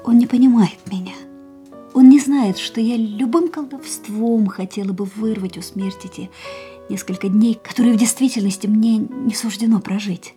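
An actress playing an ailing, proud and wistful young woman, reflecting in a measured, theatrical way in a radio drama. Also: on the high side, warm tone, faint music behind, natural voice. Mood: defiant, wistful. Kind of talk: radio play dialogue and inner monologue.

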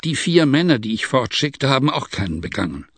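A man's voice: mid-range voice, very animated, brisk, a bit bright, no room sound, voice pushed up. Man voicing a proud, defiant sheikh. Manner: defiant, self-assured, argumentative. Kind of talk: dramatized audiobook reading.

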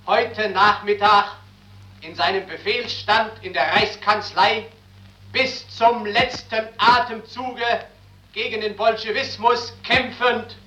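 A man as a solemn 1940s German radio announcer, declaiming gravely in an old broadcast style. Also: sits high, sharp tone, echoey room, exaggerated voice, rough recording.

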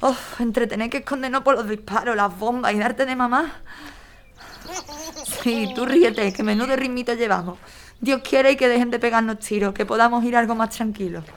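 A woman's voice: a little high, steady tone, moderate pace, a little hiss or hum, lightly acted. A woman playing a young mother fleeing with her baby. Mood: tender. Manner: tender to baby, firm and frightened.

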